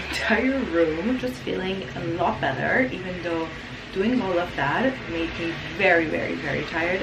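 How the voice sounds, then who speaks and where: A young woman with a raspy voice, sick with the flu, chatting casually to camera in a tired, rambling way. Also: fairly low pitch, roomy sound, background noise. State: sick with flu, hoarse throat. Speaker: lifestyle vlogger. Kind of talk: casual vlog chat to camera. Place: indoors at home.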